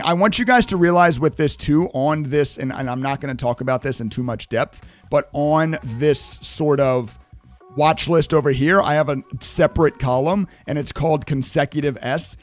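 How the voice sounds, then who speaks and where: Slightly high guy, hoarse, losing his voice, pushy tutorial energy, faint music underneath. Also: normal speed. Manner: energetic, emphatic, repeats "right?". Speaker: trading educator. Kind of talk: screen-share tutorial.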